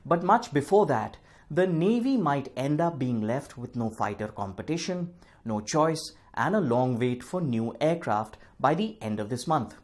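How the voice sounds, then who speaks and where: A man reads a scripted news explainer as presenter, in a measured, formal manner with deliberate phrasing. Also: mid-range voice, lively intonation, a little mellow.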